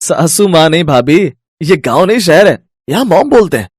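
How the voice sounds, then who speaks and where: Man acting a husky, animated young man. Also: fairly high pitch, normal speed, no room sound, studio quality. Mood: teasing, incredulous. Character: young Indian men: cheeky suitor, groom, teasing brother-in-law.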